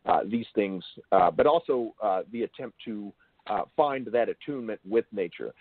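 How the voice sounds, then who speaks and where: A man with a lowish voice hosts an interview over a thin, phone-like connection. He speaks in a halting, bookish way, building long and wordy questions. The sound is dry, with little room to it. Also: expressive, no room sound. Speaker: interviewer and show host. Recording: thin phone-like call audio.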